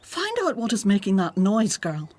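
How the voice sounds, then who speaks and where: Actress as an imperious princess, animated delivery. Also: female voice, medium pitch, normal speed, slight background noise, close to an everyday voice. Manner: commanding, haughty. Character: imperious, willful Egyptian princess, softening to babies. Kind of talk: radio drama acting.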